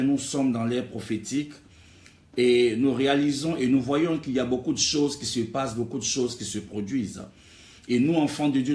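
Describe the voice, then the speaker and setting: A man's voice: mid-range voice, a little mellow. Echoey male preacher, emphatic and repetitive. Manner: emphatic, repetitive rhetorical phrasing. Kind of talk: exhortatory sermon. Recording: echoey, reverberant room.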